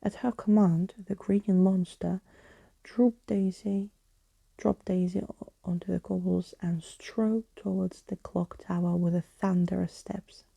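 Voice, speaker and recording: A woman gives a gentle ASMR storybook reading. Her voice is very mellow and breathy, with creaky edges. She keeps the delivery hushed and soothing, as if for bedtime. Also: fairly low pitch, flat delivery, a little slow, dry recording. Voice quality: soft, breathy. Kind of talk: soft-spoken and whispered ASMR storybook reading.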